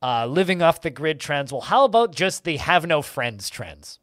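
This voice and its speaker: Animated man with dry, teasing banter. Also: fairly high pitch, normal speed, no echo. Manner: dry, mock-serious teasing. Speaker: podcast host. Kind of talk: casual banter.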